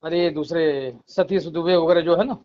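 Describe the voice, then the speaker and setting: Male caller, high pitch, casual talk, lo-fi recorded call. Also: fairly even intonation, normal speed, dark tone. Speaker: caller in a leaked phone recording. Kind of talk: casual phone conversation. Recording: muffled recorded phone call.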